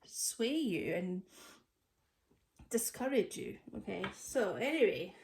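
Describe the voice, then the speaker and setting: A woman's voice: mid-range voice, very animated, slow pace, gravelly, a little mellow. Stuffy-nosed woman reading tarot cards. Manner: chatty, lots of fillers and restarts. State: stuffy from a cold. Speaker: tarot reader. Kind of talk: casual tarot reading to camera. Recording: echoey room.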